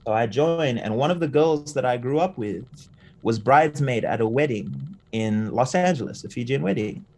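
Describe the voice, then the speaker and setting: A man's voice: medium pitch, lively intonation, normal speed, darkish tone. A man in his thirties, raised in Fiji, telling stories as an interview guest, tagging lines with "yeah?" and "right?". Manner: chatty, keeps adding "yeah?" and "right?". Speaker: interview guest, jiu-jitsu coach. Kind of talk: casual storytelling interview.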